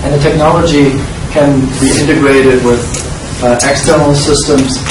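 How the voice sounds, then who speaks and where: A man with a German accent giving a slide presentation to a small audience, talking in a halting way with many fillers and restarts. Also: medium pitch, fairly even intonation, background noise. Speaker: industry speaker. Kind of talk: conference presentation. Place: classroom with projector screen. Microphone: far room camera. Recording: echoey room from far off.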